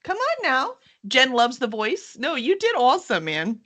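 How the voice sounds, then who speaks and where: A woman's voice: high voice, huge pitch swings, normal speed, a bit bright. A woman hosting an informal book chat, effusive and conversational as she speaks. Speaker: host promoting a book release. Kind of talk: casual live chat.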